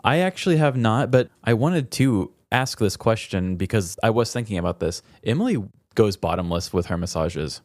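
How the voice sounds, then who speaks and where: A man's voice: lowish voice, animated, moderate pace, darkish tone, dry recording, studio quality. A man co-hosting a podcast, telling stories in a casual, comedic and chatty way. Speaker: podcast co-host.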